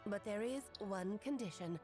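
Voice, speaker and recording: A woman's voice: medium pitch, moderate pace, natural voice. A woman voicing a playful, theatrical aunt who is a powerful mage in a video game, teasing and affectionate. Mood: charmed, composed. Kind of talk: scripted game character acting. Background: game music.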